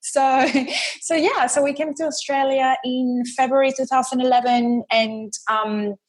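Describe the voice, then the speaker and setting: A woman's voice: high voice, fairly even intonation, unhurried. A woman in her thirties from Venezuela with a Spanish accent, chatting as a podcast guest with bubbly energy and many fillers. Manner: bubbly, laughs often, frequent fillers and repeated words. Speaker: podcast guest, business strategist. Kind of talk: casual interview chat.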